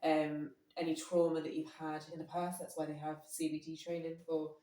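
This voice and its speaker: A woman co-hosting a casual podcast chat, a bit hoarse from a cold, explaining at length with frequent ums and laughs. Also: low voice, flat delivery, moderate pace, dark tone, distant mic. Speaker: podcast co-host. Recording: echoey room, voice off-mic.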